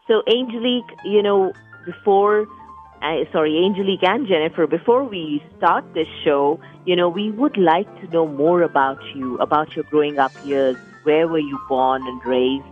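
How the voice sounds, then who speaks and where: A woman's voice: low voice, normal speed, phone-quality sound, soft background music. A woman hosting a radio talk show, introducing guests in a chatty way that often restarts. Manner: chatty, repeats words and restarts.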